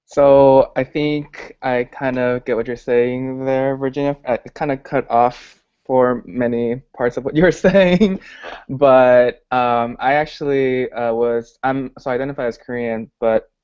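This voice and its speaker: A man from Paraguay speaks in a reflective, earnest way, pausing on fillers as he gathers his thoughts. His voice is smooth and clear. The audio is dry and muffled, like a lo-fi call. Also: medium pitch, steady tone, darkish tone, no room sound. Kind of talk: casual video-call discussion.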